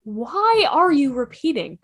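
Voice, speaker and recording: A woman playing a quick-thinking, reassuring time-travelling adventurer in a fan table read of a TV drama. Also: high voice, big pitch swings, heavily acted. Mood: urgent. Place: online video call.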